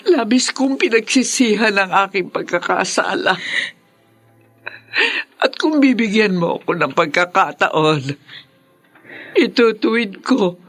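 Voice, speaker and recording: An actress playing an older Filipino mother in a radio drama, pleading earnestly for forgiveness. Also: a little high. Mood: remorseful pleading. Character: remorseful ailing older mother begging forgiveness.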